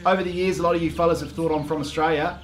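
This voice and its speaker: A man with a New Zealand accent giving a deadpan comic monologue, halting and full of "um"s. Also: on the high side, faint music behind. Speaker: comedic video host.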